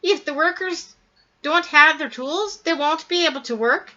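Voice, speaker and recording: A woman reading a children's story aloud in an expressive, dramatic way, drawing out words for emphasis. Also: sits high, unhurried, muffled recording. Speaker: storybook reader.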